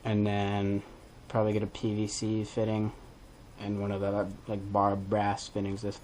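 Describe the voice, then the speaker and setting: A man's voice: on the low side, completely flat delivery, moderate pace, a little mellow, a little hiss or hum, low-quality recording. A man hosting a DIY video, talking through a hands-on tutorial in a casual, informal way as he thinks aloud.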